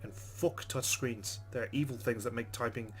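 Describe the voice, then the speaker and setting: A man's voice: medium pitch, moderate pace, soft background music. A man hosting a game playthrough, reading the story text aloud with casual asides. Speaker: let's play host.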